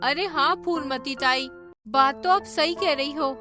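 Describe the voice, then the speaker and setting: A woman's voice: high-pitched, unhurried, music in the background. A woman voicing an envious, complaining poor village wife in a cartoon story. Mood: eager.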